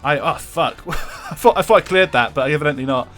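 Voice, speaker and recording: A man's voice: on the high side, fairly quick, a bit bright, soft background music. A young English man chatting casually with his viewers while streaming a racing game, swearing freely and laughing often.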